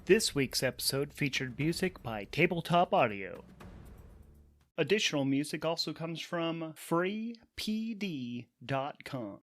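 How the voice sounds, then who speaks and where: Higher-pitched man narrating a solo game. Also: expressive, measured pace, soft background music. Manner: informal, self-correcting. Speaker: solo game player and podcast host. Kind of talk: thinking aloud through a solo tabletop game.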